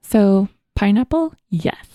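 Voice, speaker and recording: A woman's voice: mid-range voice, lively intonation, takes it slowly, warm tone, no echo, clean studio sound. A woman co-hosting a food podcast, chatting in an upbeat, casual way. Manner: upbeat, reads listener emails aloud. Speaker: podcast co-host. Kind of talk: casual chat.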